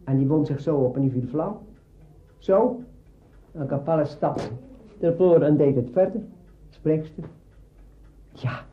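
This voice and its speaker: An older man with a fairly high voice reminisces in an interview. He speaks in halting, emphatic phrases, and the recording sounds dull and lo-fi. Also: a little hiss or hum.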